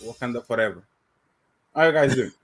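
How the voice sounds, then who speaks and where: A man's voice: medium pitch, animated, brisk, music in the background. Dry-joking man from Africa. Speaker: guest panelist. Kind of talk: casual chat.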